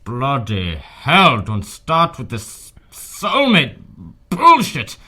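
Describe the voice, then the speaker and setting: A man's voice: medium pitch, huge pitch swings, drawn-out words, bright, forceful tone, full character voice. A man playing a surly, vengeful, brooding man of the moors in a radio drama, cursing with contempt. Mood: bitter, contemptuous.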